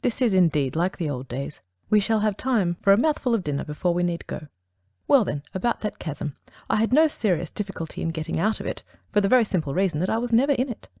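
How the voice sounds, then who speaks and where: A woman voicing a calm, precise middle-aged male detective who tells his tale in a measured, composed way in a dramatic audiobook reading. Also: medium pitch, no room sound, natural voice. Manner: measured, dry, composed storytelling.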